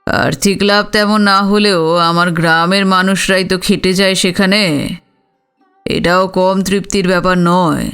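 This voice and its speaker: Guy acting older village father-in-law, slow and dignified, clear smooth voice, audio story with music under it. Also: sits high, steady tone, sharp tone, full character voice. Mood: proud, reminiscing. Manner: measured, deliberate, explanatory.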